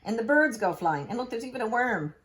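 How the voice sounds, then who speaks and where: A woman's voice: on the high side, animated, fairly quick, noisy background. A woman teacher reading a picture book aloud to young children, expressively, with asides and questions.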